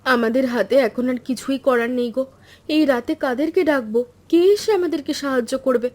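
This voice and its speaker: A woman voicing a practical, resilient village weaver's wife in an animated story, earnest and urging. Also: sits high, fairly quick. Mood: concerned, urging. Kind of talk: Bengali animated story dialogue.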